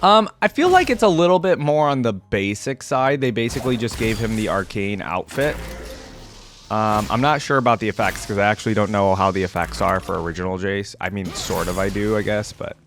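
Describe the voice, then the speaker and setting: A man's voice: on the low side, lively intonation, fairly quick, a bit bright, soft background music. A man hosting a reaction video, chatting informally and thinking aloud as he watches. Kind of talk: casual live reaction commentary.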